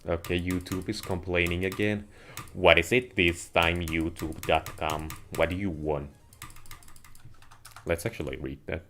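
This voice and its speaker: A man with a Finnish accent chats to viewers in measured, slightly wordy phrasing while livestreaming a video game. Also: deep voice, flat delivery, fairly bright tone. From Finland. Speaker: live streamer playing a video game. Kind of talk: casual livestream gaming commentary, chatting with viewers. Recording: noisy home stream with keyboard clicks. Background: keyboard and mouse clicking.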